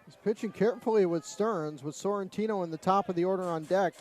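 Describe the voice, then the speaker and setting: A man's voice: high voice, quick pace, darkish tone. A man giving live baseball commentary as part of a broadcast booth.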